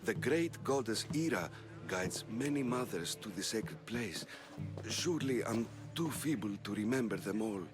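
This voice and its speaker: A timid older priest in an ancient Greek game drama. He is evasive and hesitant, stumbling through his words with nervous ums. Music plays clearly behind him. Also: fairly low pitch, animated, unhurried. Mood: evasive, humble. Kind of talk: cinematic video game acting.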